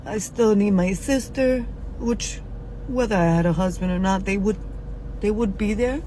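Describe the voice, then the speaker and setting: A woman in her forties speaking in a frank, halting personal video, with many fillers and pauses. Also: mid-range voice, mellow, a little hiss or hum, rough recording. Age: 40s. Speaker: social media poster.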